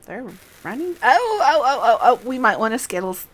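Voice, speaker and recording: A woman's voice: fairly high pitch, big pitch swings, normal speed. A woman giving casual livestream commentary while she plays a video game. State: coughing. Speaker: game streamer. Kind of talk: casual livestream gameplay commentary, reading dialogue aloud.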